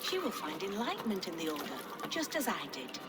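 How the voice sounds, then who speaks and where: A woman playing a learned, zealous, motherly villainess in a video game, with a measured, haughty, theatrical manner. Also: mid-range voice, big pitch swings, natural voice. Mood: zealous, condescending. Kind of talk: dramatic video game acting. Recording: long hall reverb, loud background noise.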